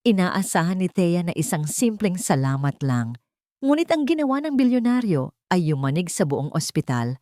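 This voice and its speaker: A woman reading dramatic scripted narration as a storyteller, emotive and deliberate, in short suspenseful phrases. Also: on the low side, very animated, dark tone, dry recording, clean studio sound.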